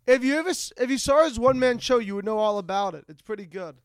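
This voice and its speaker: Male comedy host, very high pitch, playful casual chat, no room sound. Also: expressive, fast talker, clear, resonant tone, no room sound. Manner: playful, jokey. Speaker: podcast host.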